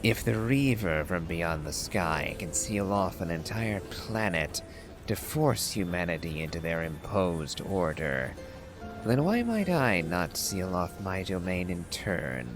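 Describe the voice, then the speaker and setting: A man's voice: low voice, big pitch swings, takes it slowly, music in the background, exaggerated voice. A man voicing a proud, stern ancient dragon from a game, in formal, declamatory phrases. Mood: solemn, resolute. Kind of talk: dramatic reading of game lore.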